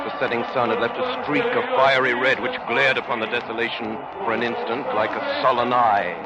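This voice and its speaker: A man playing a solemn literary storyteller, narrating a 1930s radio drama in a measured, portentous way. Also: mid-range voice, very noisy, natural voice. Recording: muffled old radio broadcast. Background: loud music.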